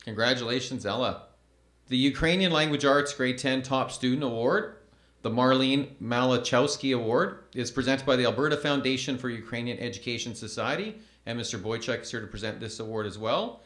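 A man in his thirties reading school award announcements from a podium microphone in a measured, formal way. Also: mid-range voice, fairly bright tone, echoey room. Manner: measured, formal, reads names slowly. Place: school event stage at a podium.

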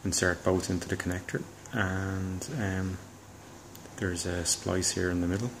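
A deep-voiced man talks through a home DIY job in an even, low-key tone. He pauses and thinks aloud as he explains, in a casual hobbyist style, with ambient noise on the recording. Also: gravelly. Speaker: hobbyist presenter. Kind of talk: casual DIY demonstration talk.

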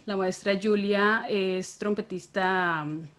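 A woman's voice: mid-range voice, fairly even intonation, slow pace. A young woman from Colombia hosting an online session and introducing a guest, friendly, with hesitant fillers. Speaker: host. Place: small indoor room. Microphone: video call.

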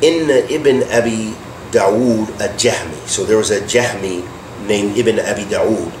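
A man giving a religious lecture, explaining terms deliberately and posing questions he then answers himself. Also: on the low side. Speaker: Islamic teacher. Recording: echoey room with air-conditioning hum.

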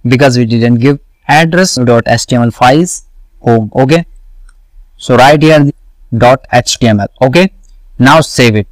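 A man walking through a coding tutorial, slowly repeating each step and answering his own questions. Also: medium pitch, no echo, rough recording. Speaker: web development tutor. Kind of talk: screen-recorded coding tutorial.

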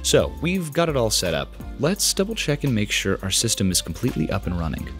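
A man's voice: medium pitch, wildly swooping pitch, a little fast, music in the background. A young American man in his twenties presenting a product setup tutorial in a casual but scripted way, close to the microphone.

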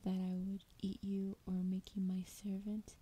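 A woman playing a lonely, ancient female dragon, tender and teasing, in a breathy, intimate whispered ASMR roleplay. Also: medium pitch, monotone, warm tone, natural voice. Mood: amused, reassuring. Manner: slow, coaxing, affectionate. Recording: clear background noise, ambience.

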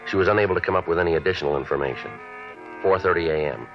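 A very low-voiced American police detective in a vintage radio crime drama. He talks quickly in short, flat, official phrases, giving nothing away. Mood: matter-of-fact. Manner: deadpan, clipped, matter-of-fact. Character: terse, businesslike male police sergeant detective. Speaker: lead actor and narrator. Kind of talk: 1950s radio police drama, clipped narration and dialogue. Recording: dull vintage radio recording with music.

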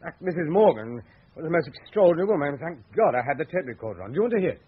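A man's voice: on the high side, sing-song, racing, breathy edge. An actor playing an obsessive, earnest male researcher in a radio drama. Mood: excited, unsettled. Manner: earnest, insistent. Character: obsessive, insistent male researcher and writer, Walter. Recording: dull lo-fi old radio recording, faint noise.

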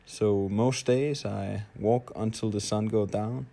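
A man in his late twenties from Denmark with a Danish accent, telling his story in a halting, hesitant audio-blog monologue. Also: lowish voice, fairly even intonation, gravelly, mellow. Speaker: traveler, audio blogger.

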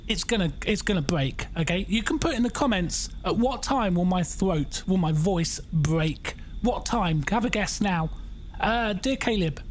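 A young man with a croaky voice, chatting and joking through a horror game as a gaming YouTuber. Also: high-pitched, animated, unhurried, slight background noise. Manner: chatty, jokey, reacting aloud. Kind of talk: casual let's-play commentary.

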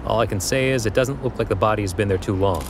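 A man's voice: fairly low pitch, quick pace, close to an everyday voice. A man playing a weary, guarded police detective in an audio drama, speaking in an official way. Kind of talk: naturalistic audio drama acting. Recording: noisy outdoor street ambience behind.

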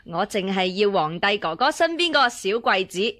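An actress playing a spoiled young princess who wheedles and pouts, in Hong Kong Cantonese radio drama style. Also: female voice, mid-range voice, sing-song, moderate pace, a bit bright. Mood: whiny, wheedling. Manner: whiny, pouty, coaxing.

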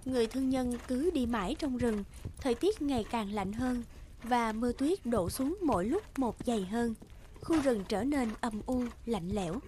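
Higher-pitched female fairy-tale narrator. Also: expressive, normal speed, mellow, slight background noise.

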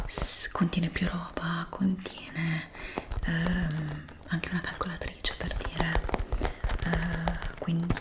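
A woman whispers gently and breathily, unhurried, in an ASMR shop assistant roleplay. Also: fairly low pitch. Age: 20s. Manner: gentle, unhurried, speaking as if to a customer. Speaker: ASMR creator playing a stationery shop assistant.

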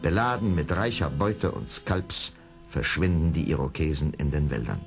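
A man's voice: extremely low pitch, animated, normal speed, creaky, faint music behind. A man giving dramatic scripted narration for an adventure audio drama.

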